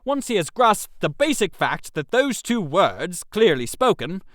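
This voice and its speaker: A man acts out a bossy male playwright from a comic audio story. His voice is high and animated, with clipped, theatrical, decisive delivery in a dry recording. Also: measured pace, dry recording, exaggerated voice. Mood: businesslike, exasperated. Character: bossy, brisk male playwright staging a scheme.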